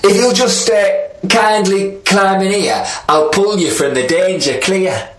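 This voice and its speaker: A man voicing a cheeky, desperate little male spider trickster in a storybook tale, pleading and quick. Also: sits high, fairly bright tone, reverberant, pitched above the performer's own voice.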